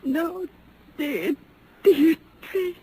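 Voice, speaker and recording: A tender sweetheart in an old radio western, crying as she speaks. Her voice is very high and soft, and her words come extremely slowly. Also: expressive, exaggerated voice. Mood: tearful tenderness. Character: tender, tearful woman in love. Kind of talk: 1950s radio western acting.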